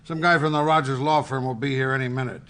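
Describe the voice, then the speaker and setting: An actor playing a gruff, impatient newspaper editor who talks brusquely and swears, in a naturalistic audio drama. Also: male voice, mid-range voice, fairly even intonation, fast talker, a bit bright, lightly acted. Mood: pressing.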